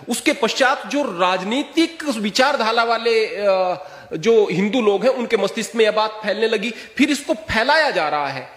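A man in his thirties explains his view in an interview, choosing formal words. His voice sits high for a man, and the recording is echoey with a long reverberant tail. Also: fairly bright tone. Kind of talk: interview answer, explaining opinion. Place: outdoors in a garden. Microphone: handheld interview microphone. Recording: echoing, reverberant interview clip.

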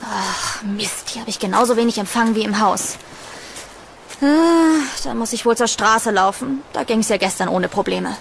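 A woman's voice: on the high side, expressive, normal speed, gravelly, slight background noise. A woman playing an anxious young woman in a horror audio drama. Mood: annoyed. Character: anxious young woman trapped at an eerie villa.

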